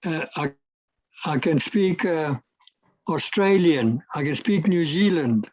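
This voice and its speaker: Older South African man, Afrikaans first language, slow halting guest talk with lots of "uh", slightly raised pitch, dry dull call audio. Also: animated, darkish tone, dry recording. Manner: hesitant, many fillers and restarts. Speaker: guest, retired air force officer. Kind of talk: casual interview talk.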